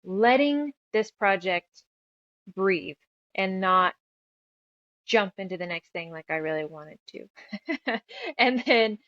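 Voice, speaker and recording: A woman's voice: medium pitch, fairly bright tone, dry recording, lo-fi sound. A woman from the United States speaking as a creative guest in a casual interview, talkative and reflective as she rambles through her thoughts. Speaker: guest, author, musician and audio drama creator.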